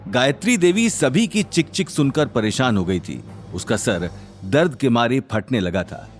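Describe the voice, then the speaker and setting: A man's voice: mid-range voice, sing-song, unhurried, soft background music. A man narrating a scripted drama serial in a dramatic storytelling style.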